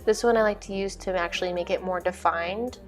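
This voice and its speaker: A woman's voice: on the low side, steady tone, brisk. A young woman casually narrating her makeup routine in an informal, chatty way. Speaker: vlog host. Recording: music behind the voice. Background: music.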